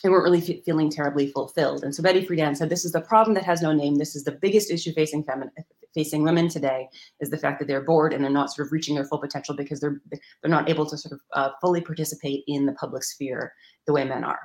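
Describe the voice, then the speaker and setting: A woman author explaining ideas in an interview, talking quickly with lots of hedging and restarts. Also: deep voice, fairly even intonation, darkish tone. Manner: rapid, hedging, many restarts. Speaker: guest author.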